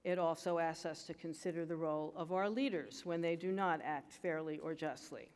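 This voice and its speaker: An older American woman giving a formal graduation speech at a lectern, with a measured, emphatic delivery. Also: low voice, steady tone, darkish tone, long reverb tail. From United States. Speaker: commencement speaker. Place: large auditorium stage at a lectern. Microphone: lectern microphone.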